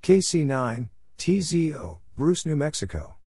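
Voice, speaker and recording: A man reading out short scripted phrases as a practice narrator, deliberately spelling things letter by letter. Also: fairly high pitch, very animated, creaky, warm tone, husky, dry recording, studio quality. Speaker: Morse code practice narrator.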